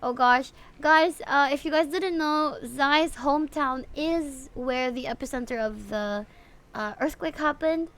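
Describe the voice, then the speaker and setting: A woman's voice: high-pitched, a little slow, fairly bright tone. A woman chatting casually on a game livestream, often addressing her viewers. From Philippines. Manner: chatty, frequently greeting viewers. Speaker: livestream host playing a story game. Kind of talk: casual livestream chat mixed with reading game dialogue aloud.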